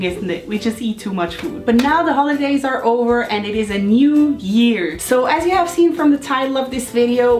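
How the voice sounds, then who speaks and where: A woman in her thirties, a bit hoarse from a cold, giving an upbeat, encouraging motivational talk to her viewers. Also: fairly high pitch, echoey room. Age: 30s. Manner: upbeat, encouraging, chatty asides. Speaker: lifestyle vlogger. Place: home room.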